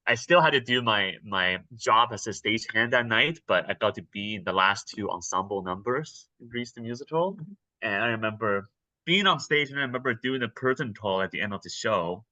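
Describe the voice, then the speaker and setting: A fairly low-pitched young man from Taiwan talks through his answers, pausing often on ums and starting sentences over. His voice is bright and forward. It sounds close and dry, with no room around it. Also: no echo, poor audio. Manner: hesitant, many ums and restarts. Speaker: interview guest, actor. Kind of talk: casual interview answers.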